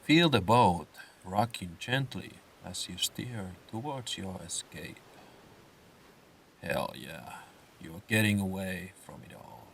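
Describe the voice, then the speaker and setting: Male meditation narrator: deep register, very slow pacing, soothing scripted delivery, sounding a little distant from the mic. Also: lively intonation, vocal fry, slight background noise. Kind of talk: slow scripted guided meditation with comic swearing.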